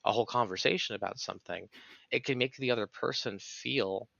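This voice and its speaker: Bright, creaky-voiced man explaining earnestly. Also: mid-range voice, a little fast, dry recording, lo-fi sound. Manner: earnest, analytical, long explanatory sentences. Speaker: podcast guest. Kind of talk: reflective interview conversation.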